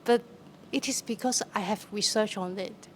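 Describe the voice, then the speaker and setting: A young woman from Hong Kong with a Hong Kong accent, answering in a halting way with many fillers and restarts. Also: mid-range voice. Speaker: theatre practitioner. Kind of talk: conversational interview answer. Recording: faint background noise.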